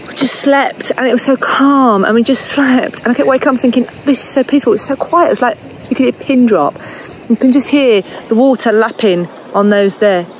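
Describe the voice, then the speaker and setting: A woman, chatting to camera on a small sailboat, excitable and talkative. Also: fairly high pitch, fairly quick. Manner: chatty, excitable, swears when thrilled. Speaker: sailing vlogger. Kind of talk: casual vlog chat to camera. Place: small sailboat and dinghy outdoors on the water. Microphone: handheld phone at arm's length. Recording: loud wind and water noise.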